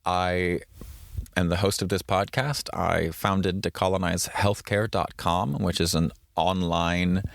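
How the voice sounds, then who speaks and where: A man's voice: deep voice, gravelly, dry recording, studio quality. A man from the Midwest hosting a solo podcast, talking in a casual, reflective way with fillers and restarts. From American Midwest. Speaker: podcast host.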